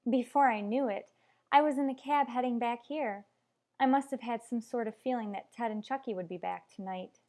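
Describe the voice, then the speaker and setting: Woman acting high-voiced, anxious radio soap mother. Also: normal speed, darkish tone, natural voice. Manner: emotional, earnest, emphatic. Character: anxious, clinging mother, melodramatic and defensive. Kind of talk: old-fashioned radio soap opera acting.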